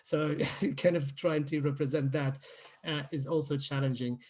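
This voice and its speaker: A man's voice: a little high, steady tone, moderate pace, darkish tone. A man from Palestine giving an informal talk as a guest writer, explaining things conversationally with hesitant, searching phrasing. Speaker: radio playwright, guest speaker.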